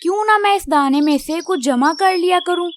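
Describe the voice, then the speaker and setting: Children's cartoon songbird , female actor: tiny, wise and brave, extremely high pitch, gentle and reassuring. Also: moderate pace, slight background noise. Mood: grateful, thoughtful. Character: wise, brave, grateful little female tailorbird. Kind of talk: Hindi children's cartoon dialogue.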